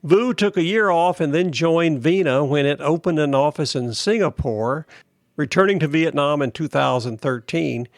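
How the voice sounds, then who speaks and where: Man reading news, fairly high voice. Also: normal speed, no room sound, clean studio sound. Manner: steady, plain read-aloud delivery. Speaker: podcast host. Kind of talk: reading a news article aloud.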